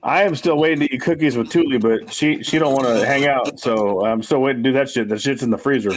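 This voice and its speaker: A man's voice: medium pitch, fast talker, slight background noise, poor audio. Forties male stream host, loose and self-deprecating, cusses freely, rambles on. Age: 40s. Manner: rambling, swearing, self-mocking. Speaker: livestream host. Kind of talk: casual livestream chat.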